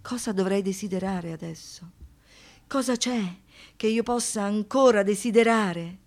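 An actress playing an anguished survivor of violence in a poetic radio monologue, speaking in halting phrases that shift between hush and outburst. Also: female voice, mid-range voice, natural voice. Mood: hushed, bewildered. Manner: halting short phrases, dropping to whispers, rising to outbursts.

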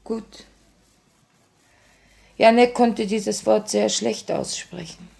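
Woman revolutionary, 1950s radio drama: slow-paced, creaky, grave, sorrowful, tender but resolute. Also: medium pitch, warm tone. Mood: grave, restrained. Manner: measured, solemn delivery.

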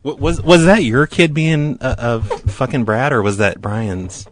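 A man's voice: mid-range voice, unhurried, a little hiss or hum, lightly acted. A man playing a pushy, rude photo lab clerk on a prank phone call, stammering and bluntly repeating himself. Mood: rude, irritated. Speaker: prank caller.

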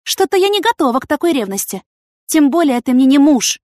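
A woman's voice: high voice, big pitch swings, a little fast, a little mellow, dry recording, clean studio sound, pitched above the performer's own voice. A woman voicing a vain, impulsive, dramatic young woman in an audiobook, with theatrical, indignant exclamations. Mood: sassy, defiant. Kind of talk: audiobook character acting.

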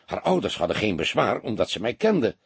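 Man playing a theatrical, swooping, wealthy gentleman. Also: on the low side, huge pitch swings, brisk, creaky, husky, no echo, exaggerated voice. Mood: shocked, distraught. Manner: affable, formal, exclamatory. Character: wealthy smooth businessman, theatrically distraught, then affably condescending. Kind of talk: audiobook character voice.

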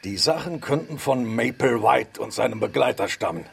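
An actor playing an irascible, excitable professor and explorer in a radio drama, with a gravelly, husky voice. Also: male voice, medium pitch, moderate pace, heavily acted. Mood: matter-of-fact. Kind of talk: German radio drama acting.

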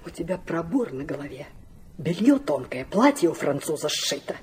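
A woman's voice: sits low, big pitch swings, moderate pace, darkish tone, a little hiss or hum. An actress playing a blunt, scolding older woman of the family in a radio play. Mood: angry, scolding.

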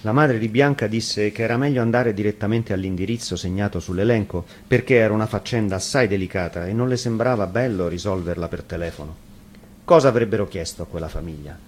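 A man's voice: lowish voice, fast. A man reads a fairy tale aloud as a storyteller, in scripted narration. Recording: faint noise, live radio broadcast.

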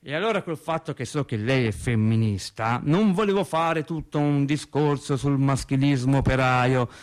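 A man's voice: mid-range voice, normal speed, natural voice. A man playing an earnest, rambling working-class factory worker in a theatrical radio monologue, talking colloquially and emphatically, repeating and interrupting himself.

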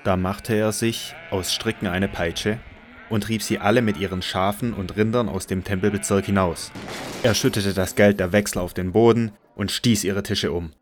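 A man narrating a scripture audio drama in a measured, solemn manner from a script. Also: on the low side, fairly even intonation, slight background noise.

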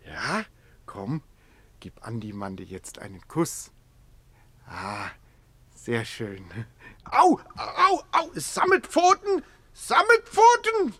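A man's voice: on the high side, very animated, slow pace, pauses often, a bit bright, no echo, exaggerated voice. An actor playing a timid, tender-hearted man who coos in silly baby talk to soothe an animal, in theatrical radio play style. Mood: delighted, doting, then startled pleading. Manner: cooing baby talk with silly rhyming pet words.

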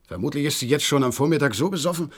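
A man's voice: a little high, lively intonation, rapid-fire, husky. A man acting in a German radio play as an agitated horse trainer, eager and deferential as he gives a dramatic account. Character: agitated horse trainer reporting a mystery, deferential male servant.

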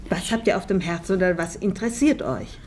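A woman's voice: mid-range voice, brisk, darkish tone, slight background noise. A woman hosting a children's radio interview, speaking simply and a little ceremoniously, in an old archive recording.

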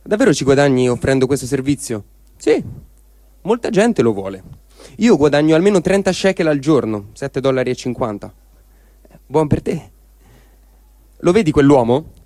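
A man giving a calm, measured dramatic reading of diary texts for a radio program. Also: mid-range voice, no echo. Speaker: reader. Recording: dry close studio sound.

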